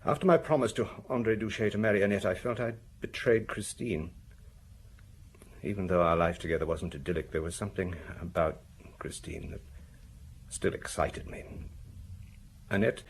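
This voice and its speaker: A man acting a troubled, tipsy murder suspect confessing haltingly in a British radio drama, with a British accent. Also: deep voice, vocal fry, a little hiss or hum. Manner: hesitant, halting, rambling.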